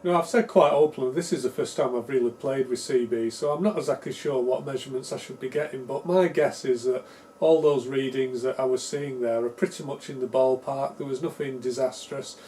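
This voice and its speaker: A man's voice: medium pitch, steady tone, fairly quick, dark tone, slight background noise. A man presenting an electronics repair video in a casual, unscripted way, explaining each step tentatively and with self-deprecation. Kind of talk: casual unscripted technical walkthrough.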